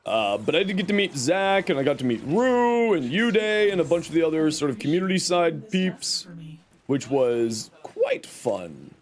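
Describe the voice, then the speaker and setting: A man's voice: fairly high pitch, very animated, measured pace. A young man streaming a game and chatting with viewers, his voice scratchy from a cold, rambling with lots of fillers. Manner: rambling, reads and answers chat with many fillers.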